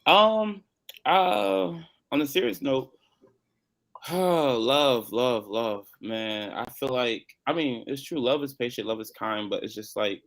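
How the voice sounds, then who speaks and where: A man answering on a panel over a video call, loose and joking, his loudness swinging up and down. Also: mid-range voice, slow pace.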